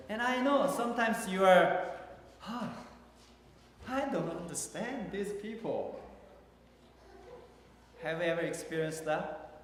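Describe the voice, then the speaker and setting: A Korean-accented man preaches slowly, feeling his way through each sentence with fillers and restarts. His voice sits a little high for a man. It rings through a big reverberant church hall, with faint noise behind it. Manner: slow, halting, with many fillers and restarts. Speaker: pastor. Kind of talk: sermon. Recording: echoey hall from far off.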